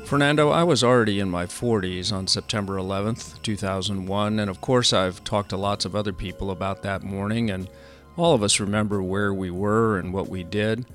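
An older man, the host of a podcast, narrating in a measured, earnest scripted way. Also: fairly low pitch, steady tone, a bit bright, faint music behind.

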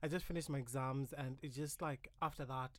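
A man's voice: mid-range voice, steady tone, a little fast, dry recording. A man in his twenties chatting casually in a group, informal and opinionated, using plenty of slang.

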